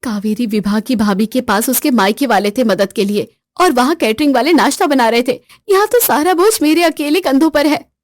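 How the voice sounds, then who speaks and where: A woman doing a soft, weary young housewife. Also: high-pitched, moderate pace, no room sound, lightly acted. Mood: quiet. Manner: soft, quietly reproachful. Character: patient, put-upon young sister-in-law, quietly wry.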